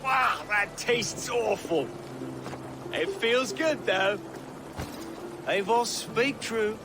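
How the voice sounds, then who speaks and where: A man's voice: high voice, drawn-out words, noisy background, heavily acted. An actor playing an earnest, boisterous young medieval nobleman, tipsy and theatrical, in a video game cutscene. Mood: tipsy, contrite.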